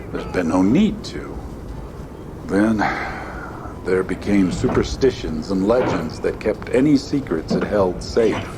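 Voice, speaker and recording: A man playing a kindly lord commander in a fantasy audio drama, proud but worried, with measured, formal speech. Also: on the low side, background noise.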